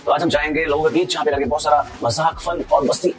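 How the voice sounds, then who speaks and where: Upbeat young host over faint music. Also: male voice, fairly high pitch, level tone, quick pace. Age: young adult. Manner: upbeat, promotional. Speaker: channel host. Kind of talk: scripted channel intro. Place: studio. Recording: music bed underneath.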